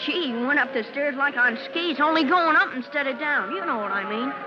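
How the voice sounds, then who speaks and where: An actor playing an excitable young schoolboy in an old-time radio drama. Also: high-pitched, brisk. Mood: excited. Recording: muffled, hissy old radio recording.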